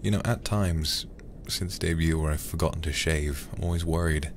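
A soft-spoken man livestreaming a game in a close-miked ASMR style, often dropping to a whisper. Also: very deep voice, steady tone, normal speed. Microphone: close ASMR microphone. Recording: clear background noise under close voice.

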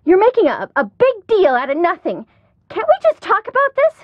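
An American actress playing a perky, curious, chatty young woman amateur sleuth in a video game, with an expressive, girlish manner. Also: extremely high pitch, moderate pace, dry recording, muffled recording, exaggerated voice. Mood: protesting. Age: young adult. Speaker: voice actor. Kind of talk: video game character dialogue.

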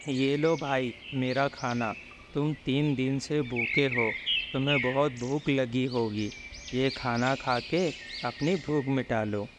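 A man playing a humble, kind village farmer in an animated moral story, speaking in a gentle, reassuring way. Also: medium pitch, slow pace, heavy background noise, close to an everyday voice. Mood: kindly. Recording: background music under the voice.

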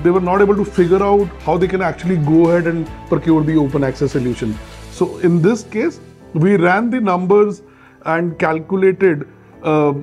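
A man's voice: fairly high pitch, darkish tone. A man in his thirties with an Indian accent, giving emphatic, step-by-step business advice as a presenter. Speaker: presenter, solar energy consultant. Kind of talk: explanatory business advice to camera. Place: small bright office, indoors, at a desk. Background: background music.

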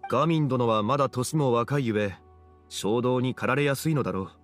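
Anime game role: wise, courteous young gentleman, mid-pitched, formal and measured male actor. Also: soft background music, close to an everyday voice. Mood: thoughtful, reassuring. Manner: formal, measured, archaic phrasing.

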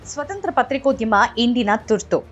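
A woman with a high voice narrates a scripted news story with a Kannada accent. She speaks earnestly and often asks rhetorical questions. The recording is slightly dull, with faint music underneath. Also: lively intonation, normal speed, darkish tone, breathy edge.

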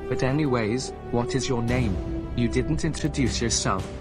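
Man doing polite young hero type, earnest and apologetic, mid-pitched, music bed underneath. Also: normal speed, lightly acted. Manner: earnest, apologetic, later indignant. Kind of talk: amateur voice drama.

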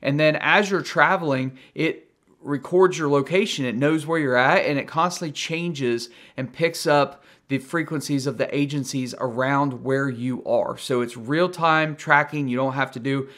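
A man's voice: mid-range voice, moderate pace, a bit bright. A middle-aged man with an American accent gives a casual, conversational product review to camera as the host. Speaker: gadget reviewer and video host.

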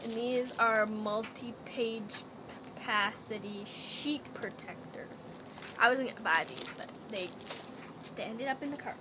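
A woman's voice: on the high side, measured pace. A young woman from Canada, chatting and rambling through a haul video in a playful put-on accent, recorded on a laptop webcam in her bedroom. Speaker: vlogger. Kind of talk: casual haul video chat. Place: small cluttered bedroom, indoors. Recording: noisy laptop webcam audio.

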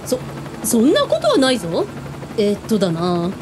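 A woman's voice: wildly swooping pitch, normal speed. A woman playing a brash, bossy owner who commands a mission in a radio drama, with a blustering manner. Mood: flustered. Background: music, helicopter rumble.

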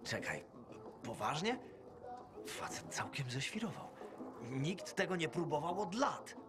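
Vain, teasing schemer, swooping intonation. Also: male voice, on the high side, sing-song, unhurried. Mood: probing, sardonic. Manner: smooth-talking, teasing, theatrical. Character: flirtatious, vain, worldly male post-apocalyptic schemer. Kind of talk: video game cutscene acting.

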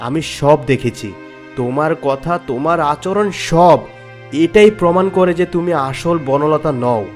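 A man's voice: on the high side, unhurried, close to an everyday voice. A man voicing a stern, righteous young prince in a fairy-tale cartoon, commanding and formal. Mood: angry, accusing. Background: background music.

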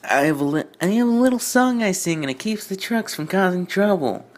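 A man's voice: sits high, expressive, a little fast, voice pushed up. A man voicing an earnest, defensive young female tank engine from a fan story, with an insistent, stumbling delivery.

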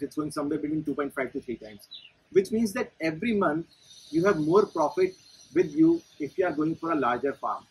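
A man's voice: on the high side, slightly rough, poor audio. A man in his forties with an Indian English accent, explaining a farming business to camera step by step, standing in a greenhouse. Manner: methodical, explains step by step with figures. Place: inside a greenhouse among leafy crops.

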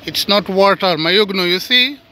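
A man, not a native English speaker, talking casually to his viewers while walking outdoors and filming with his phone, often calling them guys and dropping to soft asides. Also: sits high, normal speed. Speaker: vlogger. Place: outdoors on a suburban footpath.

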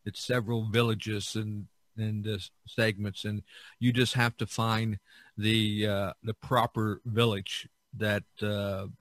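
Male podcast host, middle-aged, bright and dry-miked, conversational, loose and repetitive storytelling. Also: on the low side, takes it slowly, no room sound. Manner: rambling, repeats himself, animated when quoting others. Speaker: podcast host, visual artist.

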